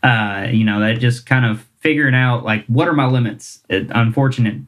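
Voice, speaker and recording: A man's voice: mid-range voice, a little fast, fairly bright tone. A man, a singer-songwriter chatting casually in an interview, rambling and self-deprecating with lots of fillers. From Kentucky, US.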